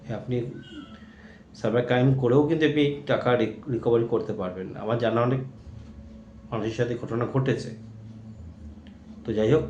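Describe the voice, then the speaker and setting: An Indian Bengali man speaks at an average pitch, earnestly cautioning listeners in an informal awareness talk. The room rings with noticeable echo, and there is a faint layer of noise. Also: dark tone, lo-fi sound. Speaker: video creator warning about fraud.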